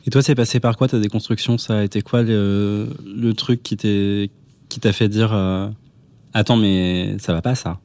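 A man's voice: on the low side, steady tone, normal speed, a little mellow. A man around thirty hosting a podcast interview in a casual, conversational way. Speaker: podcast host.

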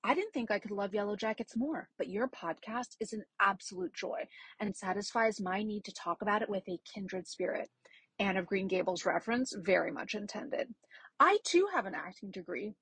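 A woman's voice: medium pitch, slightly rough, no room sound. A woman guest reader giving a dramatic reading of a listener's email.

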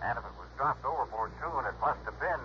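A man's voice: mid-range voice, lively intonation, fast, close to an everyday voice. An American man playing a folksy, dogged police sergeant who rambles emphatically, in mid-century radio drama style. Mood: matter-of-fact. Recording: muffled old radio broadcast, faint noise.

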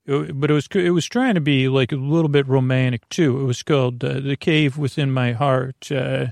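A man with a creaky voice hosting a sleep podcast, meandering through a soothing, hesitant bedtime monologue and often interrupting himself. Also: mid-range voice, steady tone, normal speed, a little mellow, no room sound, studio quality. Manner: lulling, soothing.

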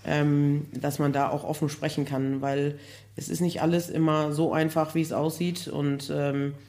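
A hoarse woman talking casually as a podcast guest, chatty and laughing often, with many fillers. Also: sits low, flat delivery, normal speed, dark tone. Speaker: guest, building project manager.

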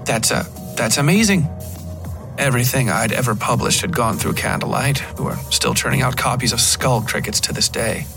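A man's voice: mid-range voice, fairly even intonation, fairly quick, creaky, hardly any pauses. A man reading scripted first-person fiction as the narrator of a horror podcast. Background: guitar music.

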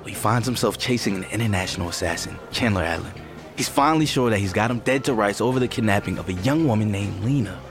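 Man acting gentle male partner, low voice, mild, hesitant, supportive, audio drama, faint music behind. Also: fast talker, close to an everyday voice. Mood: bashful.

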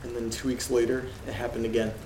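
A man acting in a horror audio drama as an uneasy letter reader, telling things in a subdued, measured way with dramatic pauses. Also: mid-range voice, flat delivery, close to an everyday voice. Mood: somber, ominous. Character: uneasy man reading creepy letters aloud alone. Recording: roomy sound with rain and noise. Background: rain and thunder ambience.

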